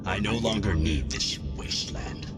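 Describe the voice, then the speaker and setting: A godlike video game villain, speaks in a low, slow voice that swells and dips dramatically. He declaims with grandiose scorn and deliberate weight. Loud music plays over him. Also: animated. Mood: gloating, grandiose.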